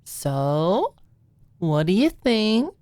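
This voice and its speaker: A woman's voice: medium pitch, sing-song, very slow, warm tone, no room sound, voice pushed up. A woman voicing an eager young woman party planner who gushes and squeals in an audiobook. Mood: proud, eager. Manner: squealing, gushing, breathless eagerness.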